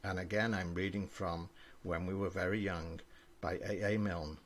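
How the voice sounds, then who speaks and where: An older man, a grandfather, reading children's poems aloud with an expressive storybook delivery. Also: deep voice, moderate pace.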